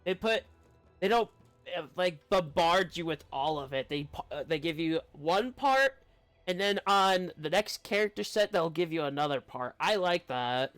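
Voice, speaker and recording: A man's voice: a little high, lively intonation, moderate pace, a bit bright. A man giving casual, rambling commentary as a game streamer, often breaking off mid-sentence. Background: faint game music.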